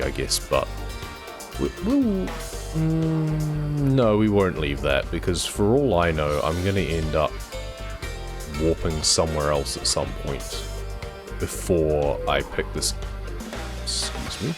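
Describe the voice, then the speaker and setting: A man chatting casually as he streams a trucking game, his voice hoarse and nearly gone after a cold. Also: extremely low pitch, measured pace, over music. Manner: relaxed, chatty, talks to himself while driving. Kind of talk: casual gameplay commentary.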